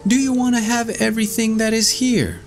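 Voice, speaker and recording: A man voicing a thumb-sized, brave and mischievous boy in a storybook reading, high and loud. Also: huge pitch swings, unhurried, vocal fry, faint music behind, heavily acted. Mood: shouting.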